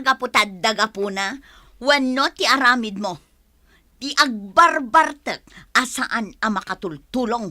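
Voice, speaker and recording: Embattled mother, bright voice. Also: a little high, full character voice. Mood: furious, accusing. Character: embattled wife and mother, quarrelsome yet forgiving. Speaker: radio drama actress. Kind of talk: Philippine radio drama acting.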